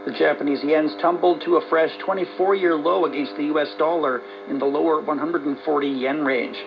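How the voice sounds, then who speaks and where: A man's voice: a little high, steady tone, brisk, creaky. A man reading scripted news as an anchor, heard on a very noisy, dull shortwave radio broadcast.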